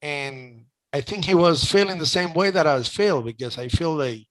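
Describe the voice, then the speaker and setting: A man's voice: a little high, lively intonation, moderate pace, poor audio. A middle-aged man with a Cuban accent hosting a casual chat, talking emotionally with many restarts. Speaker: host.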